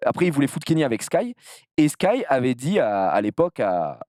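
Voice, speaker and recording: A man from the south of France chatting casually as an interview guest, telling anecdotes in an animated, slangy way with some crude language. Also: medium pitch, a little fast, no echo, studio quality. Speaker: streamer being interviewed.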